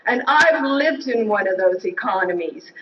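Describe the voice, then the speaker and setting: A woman in her fifties, a union delegate, making an earnest and increasingly forceful speech at a floor microphone in a large convention hall. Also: on the high side, animated, moderate pace. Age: 50s. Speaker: union delegate running for president. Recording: echoey hall, distant, dull audio.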